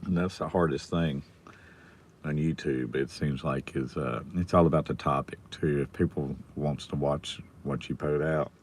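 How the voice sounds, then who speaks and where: A middle-aged man with a hoarse, raspy voice, casually chatting with viewers on his live stream. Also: extremely low pitch, steady tone, moderate pace, a little hiss or hum. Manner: rambling, chatting with viewers by name.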